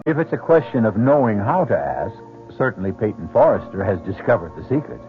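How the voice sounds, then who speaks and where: An actor as a grave, ironic host narrating an old-time radio mystery in a deliberate, portentous way. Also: male voice, on the low side, big pitch swings. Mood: wry, ominous. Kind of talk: dramatic scripted radio narration. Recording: tinny old radio recording, music underneath.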